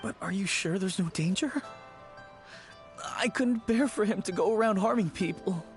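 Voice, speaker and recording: A man's voice: sits high, normal speed, faint music behind, natural voice. A man voicing an anxious, mournful, haunted man in a video game, speaking in a hesitant, wistful way.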